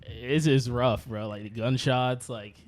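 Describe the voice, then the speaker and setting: A man from Brooklyn co-hosting a podcast, telling stories in a casual, slangy, animated way. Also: medium pitch, a little slow, slight background noise. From Brooklyn, New York. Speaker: podcast co-host. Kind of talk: casual chat, storytelling.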